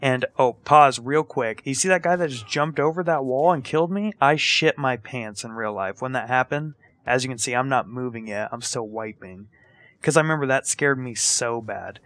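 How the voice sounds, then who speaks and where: A man's voice: medium pitch, moderate pace, no echo. A young man casually telling a personal story as a gaming commentary, acting out quotes and swearing freely. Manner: animated retelling with acted-out quotes and casual swearing. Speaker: storyteller and gaming video creator. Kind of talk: casual storytelling over gameplay.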